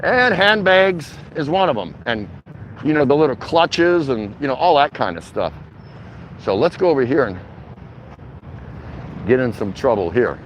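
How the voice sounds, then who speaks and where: A man's voice: medium pitch, very animated, a little slow, pauses often. A middle-aged man vlogging live on a walk, chatting casually in an easygoing, rambling, slangy way to his viewers. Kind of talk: casual livestream walking-tour chat. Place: outdoors, walking through a city shopping district. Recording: outdoor city noise, passing traffic.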